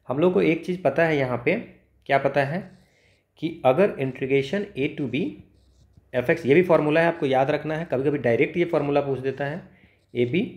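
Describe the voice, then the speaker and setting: A man with an Indian accent teaching step by step as a maths tutor, in an instructional way, repeating phrases and checking understanding with short tags. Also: on the high side. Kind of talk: step-by-step exam lesson, explaining worked solutions. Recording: echoey, roomy sound.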